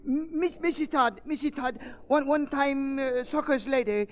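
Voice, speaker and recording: Very high-voiced excitable servant villain, broken English, old radio play, muffled recording. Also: male voice, normal speed, slight background noise. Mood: frantic pleading. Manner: broken English, staccato phrases. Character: excitable male valet henchman, pleading and menacing. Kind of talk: 1930s radio drama acting. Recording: dull vintage radio recording with background clamour.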